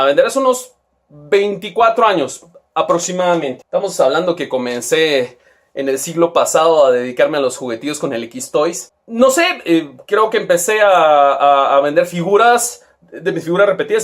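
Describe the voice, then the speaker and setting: A man's voice: on the high side, animated, normal speed. A middle-aged man with a Guatemalan accent, telling a rambling, crude story in casual slang. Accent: Guatemalan Spanish. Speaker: toy seller and vlogger.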